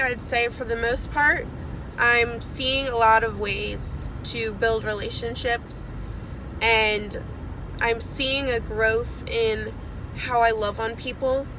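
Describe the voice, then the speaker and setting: A woman's voice: on the high side, noisy background. A young woman getting over a cold, chatting casually and rambling with lots of fillers, recorded on a phone in a car with the air conditioning on. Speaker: network marketing seller. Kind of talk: casual video message.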